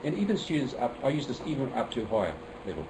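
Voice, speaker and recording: Fast-talking New Zealand man presenting to teachers. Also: medium pitch, huge pitch swings, distant mic, noisy background. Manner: chatty and self-deprecating, many fillers and restarts. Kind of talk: workshop presentation. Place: room with an audience.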